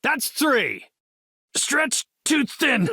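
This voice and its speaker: A composed, brilliant superhero team leader from a video game. His voice sits fairly high for a male and moves around expressively. He speaks like an earnest scholar, precise and heroic, in a very dry studio sound. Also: huge pitch swings, drawn-out words, pushed and bright, slightly rough, dry recording, heavily acted. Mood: confident, determined, then urgent. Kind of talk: video game character voice lines.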